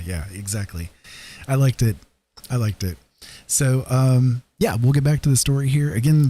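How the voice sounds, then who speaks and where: A man hosting a livestream, chatting casually in a halting, rambling way. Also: fairly low pitch, mellow, slightly rough. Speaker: livestream host.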